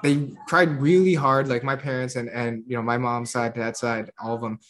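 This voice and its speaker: A man chatting casually on a podcast, animated, laughing often and tripping over repeated words. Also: medium pitch, normal speed. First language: English. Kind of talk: casual chat.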